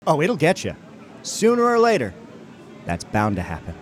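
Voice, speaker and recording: A man's voice: on the high side, wildly swooping pitch, normal speed, over music. A man playing a cocky, teasing teenage boy at camp in an audio drama, with flippant, slangy talk.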